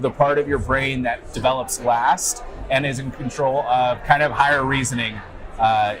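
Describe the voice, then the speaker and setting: A man in his twenties co-hosting a podcast, explaining things conversationally, recorded at a noisy convention. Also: mid-range voice. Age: 20s. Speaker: podcast co-host. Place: loud festival venue. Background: loud music.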